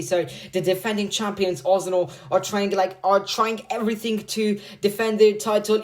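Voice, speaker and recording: A man's voice: sits high, darkish tone. A man with a slight Hungarian accent, giving casual football predictions in a vlog, rambling and leaning heavily on "like" and "bro". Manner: rambling, filler-heavy, says "like" and "bro" a lot. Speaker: football vlogger.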